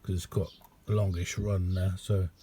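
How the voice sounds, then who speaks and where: Middle-aged man, soft dark tone, casual halting hobbyist demo, faint background noise. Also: low voice, level tone. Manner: unhurried, halting, colloquial. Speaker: radio hobbyist showing a homemade receiver. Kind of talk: casual hands-on demonstration vlog. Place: indoor hobby radio room.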